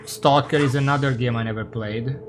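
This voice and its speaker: A man's voice: mid-range voice, fairly even intonation, fairly quick, background noise. A man from Hungary, giving casual, rambling, sweary live commentary as he streams a game and chats with viewers.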